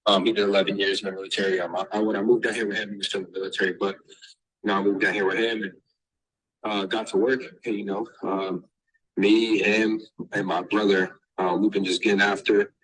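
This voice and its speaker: A young man from Massachusetts, raised in Texas, answering interview questions as a basketball recruit, halting with many fillers and restarts. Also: lowish voice, flat delivery, a bit bright, poor audio. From born in Massachusetts, raised in Texas. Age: young adult.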